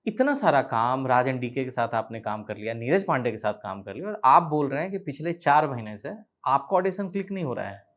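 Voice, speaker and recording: A man's voice: mid-range voice, very animated, a little fast, few pauses, fairly bright tone. A man hosting a podcast, interviewing in a conversational style.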